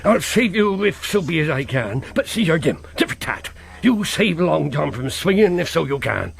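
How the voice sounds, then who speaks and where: An actor playing a wily middle-aged pirate captain in a radio drama, with a theatrical seafaring dialect, moving between wheedling joviality and commanding menace. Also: male voice, a little high, very animated, moderate pace, gravelly. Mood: grave, confiding.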